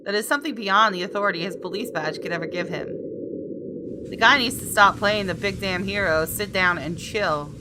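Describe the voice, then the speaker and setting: A woman's voice: mid-range voice, normal speed, background noise. A woman hosting a gaming video, reading a visual novel aloud expressively and adding casual asides.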